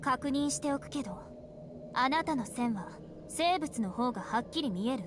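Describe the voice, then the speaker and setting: A woman's voice: high-pitched, animated, fast, background noise. A woman playing a cold, haughty young woman in a Japanese visual novel, speaking in a curt, commanding way. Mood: cool, explaining. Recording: music behind the voice.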